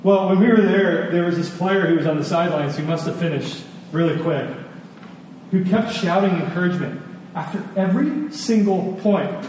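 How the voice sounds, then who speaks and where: A man's voice: sits high, darkish tone. Man preaching in a very echoey hall. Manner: conversational, with emphatic repetition and pauses. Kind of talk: sermon with personal stories. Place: large reverberant room with an audience. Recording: echoey hall, distant, faint noise.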